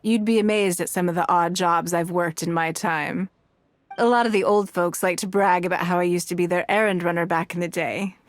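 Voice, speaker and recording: An actress voicing a poised, wealthy businesswoman and leader in a video game, composed and measured, with an elegant, self-assured manner. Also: on the low side, fairly even intonation, no echo, lightly acted. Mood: fond, self-assured.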